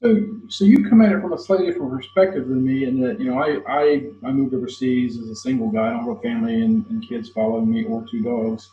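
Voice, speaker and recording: A man's voice: medium pitch, steady tone, fast, hardly any pauses. A man in his fifties interviewing conversationally, sharing his own experience as he leads into questions, on a muffled recording. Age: 50s. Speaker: interviewer, host. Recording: muffled, roomy sound with background noise.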